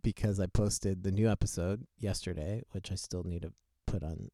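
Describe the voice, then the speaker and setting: A man's voice: low voice, steady tone, normal speed, mellow, dry recording, studio quality. A man co-hosting a casual podcast, rambling with many fillers and restarts. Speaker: podcast co-host.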